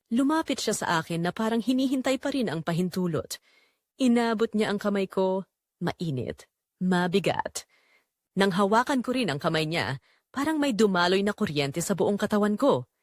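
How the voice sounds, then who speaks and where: Babaeng tagapagsalaysay na Pilipina, pabago-bago ang tono. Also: female voice, medium pitch, no echo, clean studio sound. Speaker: narrator of an audio drama story. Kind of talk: first-person scripted dramatic storytelling.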